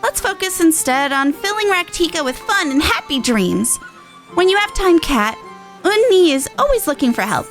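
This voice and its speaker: A woman voicing an excitable, cheerful little pixie from a game, in a bubbly, theatrical manner, easily exasperated. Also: extremely high pitch, normal speed, soft background music, pitched above the performer's own voice, exaggerated voice. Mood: puzzled, upbeat. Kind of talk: reading game dialogue in character.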